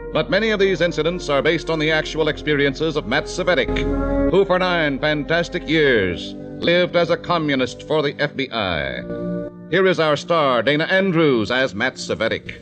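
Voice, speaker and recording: A man announcing a 1950s radio drama in a formal, dramatic way. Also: fairly high pitch. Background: brass music.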